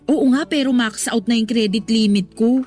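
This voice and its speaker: A woman's voice: a little high, moderate pace, a little mellow, lightly acted. A young woman with a Filipino accent playing a hardworking, evasive office employee in a radio drama. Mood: sheepish, confiding.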